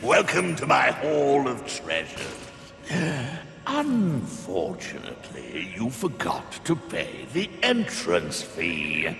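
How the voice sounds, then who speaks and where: A greedy monster villain in a fantasy game. He drawls very slowly in a gravelly bass, swinging wildly in pitch as he gloats and mocks. His voice booms in cavernous reverb. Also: huge pitch swings, heavily acted. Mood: gloating. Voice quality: deep, gravelly. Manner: mocking, drawling. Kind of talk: dramatic video game acting. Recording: cavernous reverb under music.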